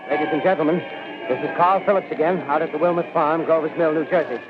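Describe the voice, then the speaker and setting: An actor playing a live on-scene radio reporter in a radio drama, talking in breathless commentary with hesitant fillers. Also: male voice, on the high side, quick pace, lightly acted. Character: excitable male field radio reporter. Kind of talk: 1930s radio drama acting. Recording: dull lo-fi radio recording, faint hiss.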